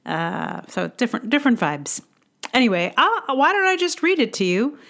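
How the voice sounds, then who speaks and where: A woman hosting a podcast, reading her own personal essay aloud in a measured, deliberate way with casual asides. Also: a little high, sing-song, fairly bright tone. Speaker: podcast host and writer.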